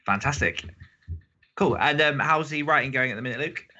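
A man's voice: fairly high pitch, moderate pace, sharp tone, dull audio. A man hosting a podcast in a casual, chatty style. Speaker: podcast host. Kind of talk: casual chat.

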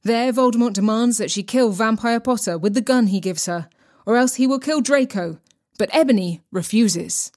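A woman's voice: on the high side, normal speed, a little mellow. A woman presenting a podcast with scripted, tongue-in-cheek narration.

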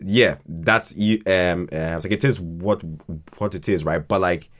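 Man, lowish pitch, casual podcast guest, halting delivery, frequent word repetition. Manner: halting, repeats words often as he thinks aloud. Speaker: podcast guest, fiction podcast writer. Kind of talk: casual conversational interview.

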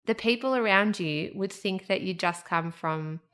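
A woman's voice: medium pitch, moderate pace, a bit bright. A woman hosting a podcast, telling a personal story in a candid, emotional way. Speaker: podcast host. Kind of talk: casual chat.

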